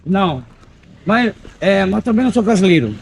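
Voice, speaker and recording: A man's voice: high voice, very animated, moderate pace, gravelly, a little hiss or hum. An older man from Bahia, guiding a walking tour outdoors with theatrical, joking storytelling that sometimes stumbles over words. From Bahia, Brazil. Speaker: local tour guide.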